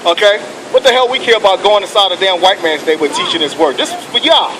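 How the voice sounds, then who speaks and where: Fiery street preacher, high voice, loud traffic. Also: male voice, expressive, fast. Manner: fiery, profane, calls and responses with "okay" and "come on". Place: outdoors on a city street. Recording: loud street noise outdoors.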